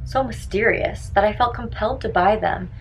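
A woman's voice: medium pitch, moderate pace, vocal fry, faint music behind, low-quality recording. A young woman reading a scripted first-person story aloud with dramatic delivery.

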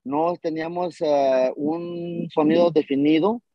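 A man's voice: fairly high pitch, fairly even intonation, a little slow, dry recording, muffled recording. A man, a band vocalist, answering interview questions casually over a video call, rambling with fillers.

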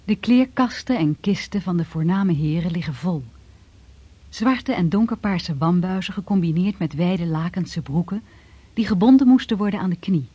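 A woman's voice: on the low side, expressive, normal speed, dark tone, husky. A woman reading scripted narration for a radio drama, in a dry, slightly dull recording.

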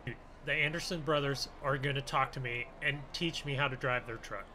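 A man in an improvised gaming roleplay, talking in an excitable, slangy way with plenty of exclamations. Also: medium pitch, brisk, a little hiss or hum. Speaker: gaming video creator role-playing a driver.

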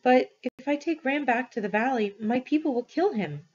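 A woman's voice: sits high, animated, normal speed, a little mellow, husky, highs cut off, pitched above the performer's own voice. Woman reading as an earnest, pleading boy. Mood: distressed. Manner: pleading, insistent. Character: earnest, stubborn prehistoric boy with a wolf dog.

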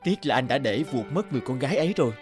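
A man's voice: medium pitch, expressive, rapid-fire, natural voice. Man acting a tender, wistful suitor. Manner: tender, courteous. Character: attentive, wistful old flame, soft-spoken suitor. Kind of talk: animated cartoon dialogue. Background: background music.

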